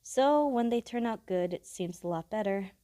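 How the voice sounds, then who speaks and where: A young woman playing a blunt, guarded, socially awkward studious schoolgirl in an audio roleplay, with a halting, matter-of-fact manner. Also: mid-range voice, animated, mellow, no room sound, clean studio sound, lightly acted. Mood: guarded, vulnerable. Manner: halting, hesitant, matter-of-fact, formal wording. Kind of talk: scripted audio roleplay, addressing the listener directly.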